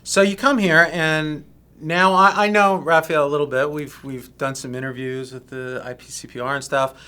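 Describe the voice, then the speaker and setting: A man's voice: fairly high pitch, fairly even intonation, normal speed, pushed and bright, smooth. A male magazine editor hosting a casual interview, upbeat and chatty as he talks up his guest.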